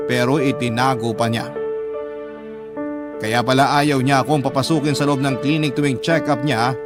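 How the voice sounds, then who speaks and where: An actor playing a heartbroken young man, narrating his own story of betrayal in a measured, earnest radio drama style. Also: mid-range voice, natural voice.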